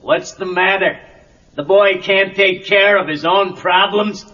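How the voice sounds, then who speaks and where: An actor playing a merciless, contemptuous karate sensei with an American accent, commanding and sneering in film dialogue. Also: male voice, high voice, steady tone, unhurried, bright, forceful tone. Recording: dull lo-fi cassette tape.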